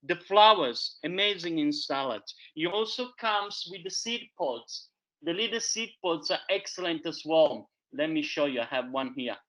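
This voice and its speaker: A man's voice: fairly high pitch, lively intonation, normal speed, a bit bright. A man teaching about wild edible plants in a casual, enthusiastic show-and-tell way. He speaks in loose, chatty phrasing full of fillers and often repeats himself. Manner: enthusiastic, chatty, repeats "there you have it"; loose non-native phrasing with many fillers. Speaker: foraging educator. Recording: dull lo-fi recording.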